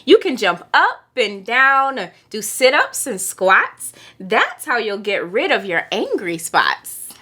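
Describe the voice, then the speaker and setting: A woman's voice: a little high, big pitch swings, takes it slowly, pushed and bright, exaggerated voice. A woman voicing an encouraging, sporty kangaroo in a children's story, in nearly her own reading voice. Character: sporty, cheerful male kangaroo.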